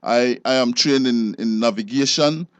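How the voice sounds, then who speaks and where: Man, Belizean accent, mid-pitched, halting explanatory delivery, informal interview guest, dry sound. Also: smooth, no room sound. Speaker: fisheries enforcement officer, interview guest.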